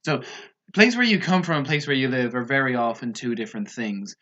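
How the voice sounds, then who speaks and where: A man's voice: mid-range voice, fairly quick, pushed and bright. A man commenting on a TV clip in a casual, sarcastic and mocking way. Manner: sarcastic, mocking, mimics others. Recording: dull lo-fi sound.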